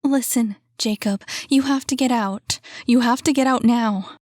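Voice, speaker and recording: A woman voicing a desperate trapped young woman who urgently pleads and shouts through a door. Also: fairly high pitch, unhurried, dry recording, clean studio sound, pitched above the performer's own voice. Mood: pleading.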